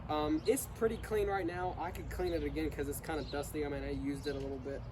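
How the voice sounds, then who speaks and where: A man's voice: fairly high pitch, steady tone, fast, noisy background. A young man with a congested voice from illness or allergies, casually reviewing his lawn mower in a hesitant, rambling way full of fillers and restarts. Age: young adult.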